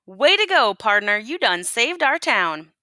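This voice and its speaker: A woman voicing excitable townsfolk in a Western storybook, loud and folksy. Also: a little slow, no echo, clean studio sound, full character voice. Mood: grateful, excited. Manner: loud, high-pitched, folksy. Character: excitable Old West townsfolk shouting to a stranger.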